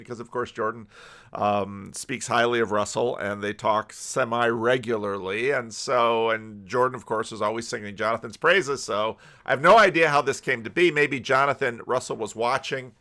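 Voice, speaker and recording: A man giving casual, unscripted commentary in a rambling, halting way, often restarting his sentences. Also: medium pitch, pushed and bright. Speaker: YouTube commentator and pastor.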